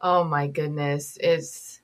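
Lower-voiced woman, comedian chatting. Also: fairly even intonation, takes it slowly. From born in Kashmir, moved to the US at seven. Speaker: guest, stand-up comedian. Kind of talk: casual comic interview chat.

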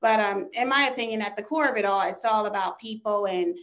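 A woman guest from Maryland's Eastern Shore talks about her business in an upbeat, casual way, with frequent "um"s. Her voice sits mid pitch, and it comes through a slightly dull, lo-fi line. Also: a little fast, a bit bright. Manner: upbeat, many "um" fillers. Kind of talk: casual interview.

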